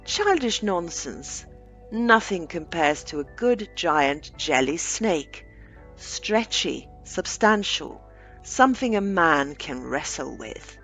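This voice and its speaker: A woman voicing a scornful, dignified gentleman in a storybook reading, dismissive and emphatic. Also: fairly low pitch, expressive, slow, faint music behind, full character voice, poor audio. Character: scornful dignified gentleman passionate about jelly sweets.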